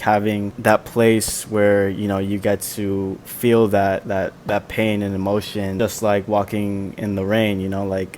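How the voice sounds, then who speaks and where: A young man, an independent musician, answering fan questions in a casual, soft-spoken, chatty way with lots of fillers. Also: fairly low pitch, slight background noise. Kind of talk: casual Q&A vlog.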